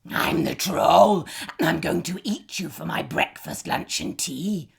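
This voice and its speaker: A woman voicing a hungry bullying male troll, slow and booming, in a storybook reading. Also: creaky, husky, exaggerated voice. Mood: menacing.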